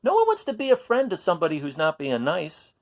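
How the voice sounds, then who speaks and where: A man reads aloud for young children in a teacherly way. His pitch moves freely as he addresses them directly, asking questions and explaining in simple words. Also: wildly swooping pitch, fast talker.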